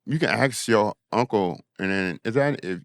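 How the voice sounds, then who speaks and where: Man, slightly deep pitch, dry close recording, casual slangy chat, emphatic repetition. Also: expressive, normal speed, creaky, clean tone, no echo. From West Oakland, California. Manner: slangy, emphatic, repeats himself. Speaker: guest artist and DJ.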